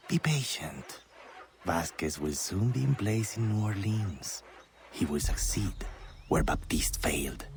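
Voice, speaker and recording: An actor playing a cold, scheming colonial villain in a video game, speaking in a formal, smooth, menacing way. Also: male voice, on the low side, slight background noise. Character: cold, scheming male villain overseeing a colonial labor camp. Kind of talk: dramatic video game cutscene acting.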